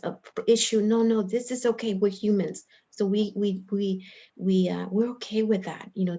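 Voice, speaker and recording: A middle-aged woman from El Salvador introduces herself informally on a panel. She talks in a halting, earnest, rambling way at a medium pitch. The video call leaves her sounding dull and lo-fi. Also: mellow. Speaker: panelist, clinical psychologist and director.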